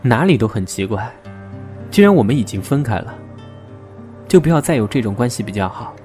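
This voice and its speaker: A male actor plays a guarded young man in a modern audio drama. His pitch is mid-range but moves a lot, earnest and gently firm, with soft music underneath. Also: very animated, brisk, lightly acted. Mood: quietly firm.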